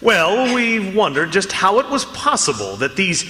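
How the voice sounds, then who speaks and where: An actor playing a suave, composed gentleman investigator, speaking politely and deliberately in old-time radio mystery style. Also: high-pitched, big pitch swings, heavily acted. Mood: probing. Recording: long hall-like reverb on the voice.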